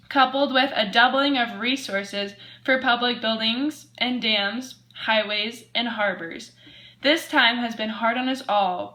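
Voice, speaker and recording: Girl playing earnest 1920s radio newswoman, scripted, bright highish voice. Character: earnest female 1920s radio news anchor. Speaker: news anchor.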